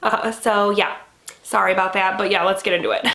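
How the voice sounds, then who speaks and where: A woman's voice: medium pitch, steady tone, a little fast, over music. Young woman host, stuffy nasal voice from a cold, chatty with lots of fillers, roomy echo. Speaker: BookTube host. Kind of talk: casual reading-plans chat.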